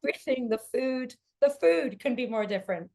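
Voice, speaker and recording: A woman's voice: high voice, lively intonation, brisk, gravelly, darkish tone, slight background noise. A woman with a mixed British and American accent, grew up in London and Italy, chatting as an actor guest in a casual interview, laughing often and correcting herself.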